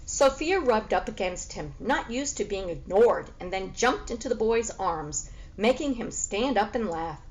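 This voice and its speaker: A woman reading a children's book aloud as expressive storybook narration. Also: mid-range voice, unhurried, dull audio.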